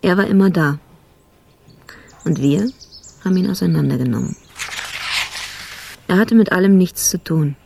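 A world-weary, cynical cop in a radio drama. The voice is dark and mellow with a lot of creak. Delivery is dry, blunt and clipped, with sardonic impatience. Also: male voice, sits high, moderate pace, long pauses, slight background noise. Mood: weary, bitter.

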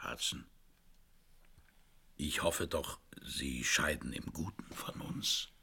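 An actor playing an older gentleman father in a radio drama, husky, polite and anxiously placating. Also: a bass voice, slow pace, in a whisper, heavily acted. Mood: pleading, placating.

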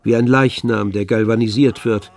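A man's voice: fairly low pitch, steady tone, normal speed, vocal fry, a little mellow. Grave male radio drama narrator.

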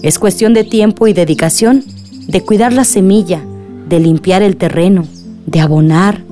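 A woman's voice: mid-range voice, moderate pace, dark tone, faint music behind, lightly acted. An actress playing a patient, hopeful village woman in a radio drama, earnest and respectfully persuasive. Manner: earnest, coaxing.

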